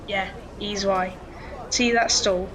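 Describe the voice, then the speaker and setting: A woman's voice: mid-range voice, fairly even intonation, slow pace, crowd noise, background noise, lightly acted. A woman playing a cheeky, streetwise young pickpocket boy with a London accent in an audio drama, cocky and friendly. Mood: cheeky, knowing.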